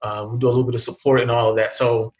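A man's voice: medium pitch, flat delivery, racing, roomy sound. A man in his thirties from South Sudan, whose first language is Arabic, chatting casually as an interview guest with many fillers and restarts. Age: 30s. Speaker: guest investor.

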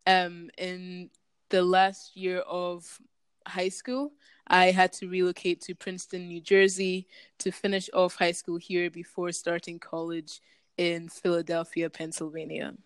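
A young woman, a university student whose first language is German, calmly answering as a podcast guest. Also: lowish voice, measured pace, clean tone, no room sound. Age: young adult. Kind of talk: casual interview answers.

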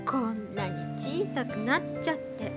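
High-voiced, blunt grown daughter. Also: female voice, animated, unhurried, over loud music. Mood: subdued, wistful. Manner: blunt, casual, direct. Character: blunt, strong-willed daughter of a late film director. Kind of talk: radio drama acting.

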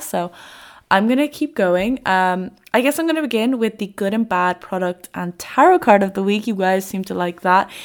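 A young woman hosting her podcast in a loose, chatty way with lots of fillers, a little congested and nasal from a cold. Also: mid-range voice. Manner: chatty, rambling with many fillers. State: congested, a bit sick. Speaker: podcast host. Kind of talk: casual solo podcast chat.

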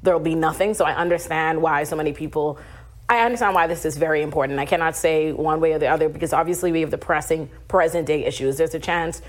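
A woman's voice: sits low, fairly even intonation, fast talker. A woman from the Bahamas speaking conversationally and diplomatically, a little tentative, as a panelist on a talk show. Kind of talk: conversational discussion.

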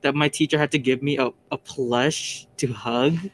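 A man's voice: mid-range voice, moderate pace. Teen guy on a school podcast, gets all worked up telling stories, faint background hum. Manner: animated, excitable storyteller. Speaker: co-host. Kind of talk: casual chat.

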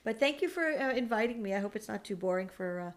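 A middle-aged woman, a mother, chatting as a podcast guest with a raspy voice after losing it, reminiscing fondly. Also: medium pitch, animated, quick pace. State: raspy, recovering lost voice. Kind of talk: casual chat.

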